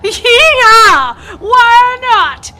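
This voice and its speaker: A woman's voice: very high voice, animated, extremely slow, very noisy, heavily acted. A woman playing a brassy, flirtatious, bossy saloon madam with folksy frontier speech in an amateur radio western. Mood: bossy, excited. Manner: sassy, folksy frontier grammar. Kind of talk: amateur old-time radio play acting.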